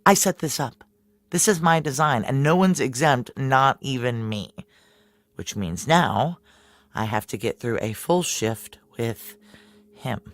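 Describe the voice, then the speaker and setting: A woman's voice: rumbling low, lively intonation, measured pace, no echo. An actress playing a tense, hard-boiled female security chief who narrates her inner thoughts in a noir audio drama, terse, wry and confiding. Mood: firm, tense.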